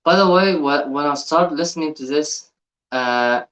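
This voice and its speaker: A man from Egypt with an Egyptian Arabic accent and Arabic as his first language, hosting a reaction video in an earnest, halting way that often repeats and restarts. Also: fairly high pitch, fairly bright tone, poor audio. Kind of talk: casual reaction commentary.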